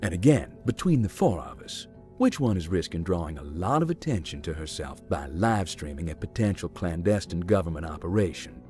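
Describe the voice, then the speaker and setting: A very low male voice plays a giant, laid-back man in a horror visual novel. His delivery is folksy and dry, with lively swings in intonation. Music plays behind him. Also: a little fast. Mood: wry, cautious. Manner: deadpan, folksy, wry. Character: giant, easygoing, wry man exploring a haunted hospital.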